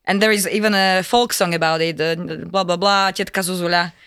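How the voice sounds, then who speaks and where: A woman hosting a casual interview in an animated, encouraging way. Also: lowish voice, a little fast, clear, resonant tone, dry recording, clean studio sound. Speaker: podcast host.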